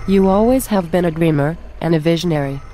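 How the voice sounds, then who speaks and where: A woman's voice: on the low side, brisk, slight background noise, natural voice. A woman playing an eerie, knowing, wistful figure in a gothic horror audio drama, with solemn, dreamy, literary phrasing. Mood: challenging. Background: dark horror music soundtrack.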